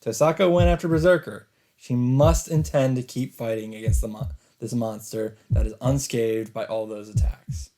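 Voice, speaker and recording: A man's voice: mid-range voice, fairly even intonation, normal speed, mellow. A man let's player reading visual novel narration dramatically, with casual commentary of his own. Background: game music.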